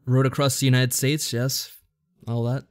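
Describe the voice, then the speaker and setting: A man's voice: mid-range voice, level tone, moderate pace, dry recording. A man co-hosting a podcast in casual chat, with a dry, sarcastic way of talking. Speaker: podcast co-host.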